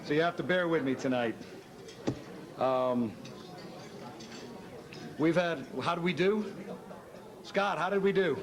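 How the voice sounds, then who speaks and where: A middle-aged man emceeing a banquet with a hoarse, scratchy voice, talking in a chatty, self-deprecating, rambling way. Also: a little high, slow pace, long pauses, a crowd in the background. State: hoarse from shouting. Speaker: master of ceremonies. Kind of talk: casual speech at a banquet. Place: hotel banquet hall.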